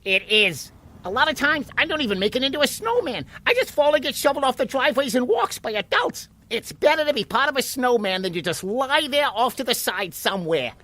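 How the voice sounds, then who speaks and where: A woman's voice: high-pitched, big pitch swings, brisk, a bit bright, exaggerated voice. A woman playing a plucky, chatty water molecule living inside a snowman, matter-of-fact and pushy, in a children's audio drama.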